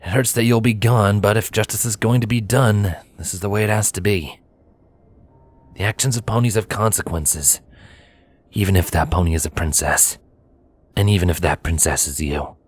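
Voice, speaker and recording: A man playing a gentle but firm pony husband in a story reading, earnest and given to sighs. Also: fairly low pitch, normal speed, natural voice. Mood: earnest resolve. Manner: soft-spoken, sighing, earnest.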